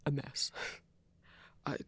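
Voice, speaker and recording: A man playing an awkward, protective werewolf hunter who lives rough, speaking hesitantly and breathily in an intimate audio roleplay. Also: takes it slowly, close to an everyday voice. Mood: embarrassed, self-deprecating. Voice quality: breathy, husky. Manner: hesitant, self-effacing, stammering.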